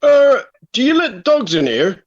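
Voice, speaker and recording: Flustered female dog owner for radio comedy, played by a woman, husky, hugely animated, muffled recording. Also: high voice, measured pace, heavily acted. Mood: apologetic. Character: apologetic dog owner, scolding an unruly dog.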